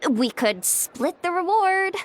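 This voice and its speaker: A woman's voice: very high-pitched, big pitch swings, slow pace, slight background noise, heavily acted. An actress playing a breezy, self-assured, streetwise young woman in a video game, talking in a casual, teasing, slangy way. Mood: teasing, laid-back. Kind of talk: video game character acting.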